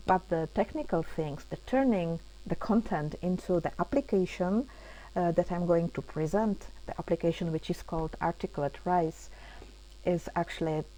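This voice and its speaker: A woman hosting an online webinar in English, speaking haltingly with many fillers and restarts over a computer mic on a video call. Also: fairly low pitch, darkish tone, poor audio. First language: Czech. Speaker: webinar host and project coordinator. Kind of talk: hesitant opening of an online webinar.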